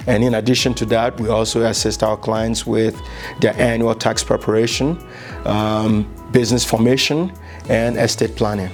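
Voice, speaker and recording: A middle-aged man from Liberia, talking earnestly about his insurance business, measured and with frequent fillers. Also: lowish voice, darkish tone. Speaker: insurance agent. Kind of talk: business promo interview.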